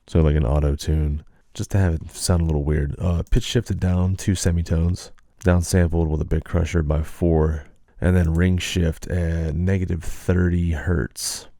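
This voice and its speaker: A man's voice: low voice, steady tone, unhurried, warm tone, dry recording, studio quality. A man giving a casual explanatory tutorial as a video creator.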